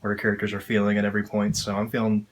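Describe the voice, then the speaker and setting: A man chats as an actor in a casual interview. His voice is fairly low and extremely flat in pitch, and he talks quickly. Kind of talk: casual behind-the-scenes interview.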